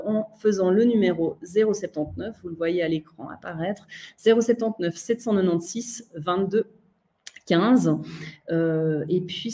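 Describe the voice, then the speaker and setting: A woman's voice: fairly low pitch, warm tone. A woman in her thirties with a Swiss French accent, moderating a live online panel over a video call, with many fillers and restarts.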